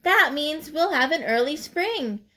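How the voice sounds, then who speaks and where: A woman voicing a cheerful, excitable female porcupine in a storybook, exclaiming her lines. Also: high-pitched, a little slow, pitched above the performer's own voice, full character voice. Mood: pleased.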